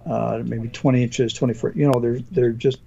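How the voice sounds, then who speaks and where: A man's voice: medium pitch, fairly even intonation, moderate pace, vocal fry, dark tone, breathy edge. A man hosting a podcast, asking questions and chiming in while he is losing his voice. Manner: conversational, asks questions, interjects often. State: losing his voice, clearing throat. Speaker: podcast host. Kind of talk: casual podcast chat. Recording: dull lo-fi sound.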